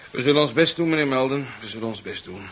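An actor playing a brisk, jovial, slightly eccentric doctor-scientist in a 1970s radio play, hearty one moment and muttering to himself the next. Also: male voice, mid-range voice, animated, a bit bright, close to an everyday voice. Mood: businesslike. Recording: muffled 1970s radio recording with hiss.

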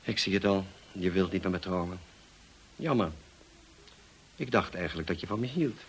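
An actor playing a brusque, teasing, moody gentleman in a Dutch radio drama, speaking in a dry, commanding way. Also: low voice, moderate pace, breathy edge. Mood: brusque, insistent. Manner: dry, commanding, clipped questioning.

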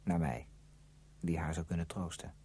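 An actor playing a lovelorn, brooding man narrating his memories in a radio drama, measured and literary. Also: extremely low pitch, fairly even intonation, vocal fry, mellow, slight background noise.

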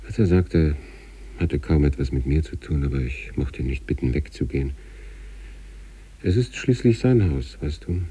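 A man acting an obsessive sanatorium patient in a literary radio monologue, reading out letters to his wife with measured, introspective intensity and a husky, gravelly voice. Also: lively intonation, far from the mic, heavily acted. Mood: quiet, impatient. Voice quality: husky, gravelly, dark low voice.

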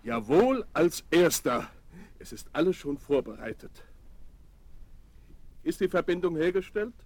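An actor playing a courteous, formal official in a German children's radio play. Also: male voice, on the high side, very animated, measured pace, a little mellow. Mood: warmly ceremonious. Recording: faintly noisy vinyl record transfer.

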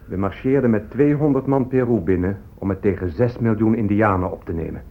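An actor playing a courtly, plain-spoken Spanish soldier who chronicles events in a radio drama. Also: male voice, fairly low pitch, a little fast. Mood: courtly, reporting. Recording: muffled phone-like old radio play.

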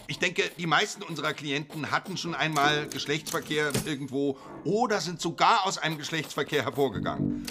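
An actor playing a firm, formal office official in a German radio play. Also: male voice, a little high, lively intonation, brisk, over music. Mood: firm, defensive. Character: firm, indignant male compensation office official.

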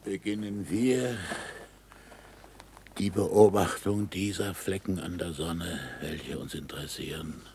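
An actor in a German radio play, playing a stubborn, sardonic aging astronomer with a gravelly, husky voice and deliberate, emphatic delivery. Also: male voice, sits low, a little mellow, slight background noise. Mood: intense, deliberate. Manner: deliberate, emphatic speech-making.

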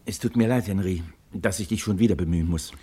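An actor playing an earnest, polite husband who confides in a friend, in an old German radio crime play. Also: male voice, deep voice, fast talker, warm tone, a little hiss or hum, natural voice. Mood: troubled, confiding. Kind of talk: mid-century German radio crime drama acting.

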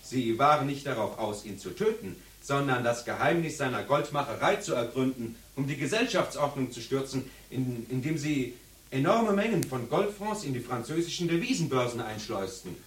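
An actor playing an opinionated, combative literary scholar in a radio drama, arguing eloquently and emphatically. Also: male voice, mid-range voice, expressive, moderate pace, a bit bright, lightly acted. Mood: fervent, insistent. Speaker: seminar participant. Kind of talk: radio drama monologue, academic argument. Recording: echoey room, distant from microphone.

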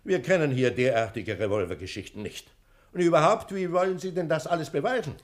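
An actor playing an indignant, skeptical prison director in an officious, emphatic manner in a German radio crime play. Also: male voice, on the high side, animated, fairly quick, close to an everyday voice. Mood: dry, stubborn.

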